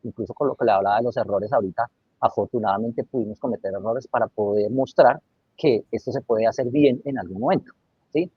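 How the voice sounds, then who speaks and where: A man's voice: medium pitch, husky, dry recording. A man answering as a webinar panelist, earnest and reflective, checking in with his listeners as he talks. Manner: earnest, reflective, tags lines with "¿sí?". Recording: dry, dull lo-fi mic.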